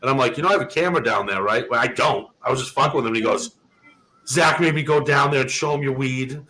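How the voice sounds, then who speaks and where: A man in his thirties from Massachusetts, telling profane, animated stories in a casual chat and acting out the quotes. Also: mid-range voice, fast, low-quality recording.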